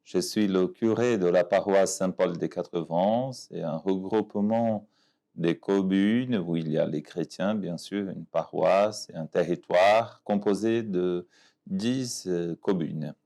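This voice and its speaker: A man from Brazil, a parish priest speaking French with a Brazilian Portuguese accent, giving a calm, reflective personal testimony in an interview, often restarting his phrases. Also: low-pitched, slow pace, vocal fry.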